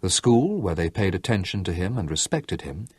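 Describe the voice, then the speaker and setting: A man narrates a literary novel in a measured storytelling manner, slowing for dramatic effect. His voice sits low and sounds husky, recorded close and dry with no room sound. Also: sing-song, a little mellow, dry recording. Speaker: audiobook narrator.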